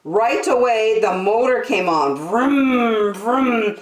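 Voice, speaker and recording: A woman hosting story time, reading a children's book aloud in an expressive, deliberate, sing-song way. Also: fairly high pitch, slight background noise. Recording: echoey room.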